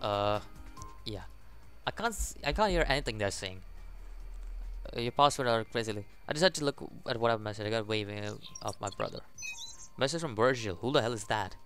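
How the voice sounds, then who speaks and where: Young man reading game text haltingly. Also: lowish voice, expressive, a bit bright, soft background music. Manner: halting reading, stumbles over words. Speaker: gaming YouTuber. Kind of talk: casual let's play, reading game text aloud with commentary.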